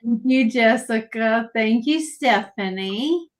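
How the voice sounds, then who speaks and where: A woman's voice: a little high, animated, slow pace. A woman co-hosting a live auction, calling bids and putting in short remarks. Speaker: co-host of auction sale. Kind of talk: live online auction sale.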